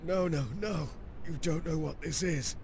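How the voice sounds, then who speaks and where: A man playing a terrified, dying man in a video game, halting, gasping in pain and melodramatic. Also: fairly high pitch, creaky, darkish tone, slight background noise. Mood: agonized panic. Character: dying, terrified man in agony, pleading and dramatic. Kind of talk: scripted video game voice acting. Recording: birdsong and outdoor ambience behind.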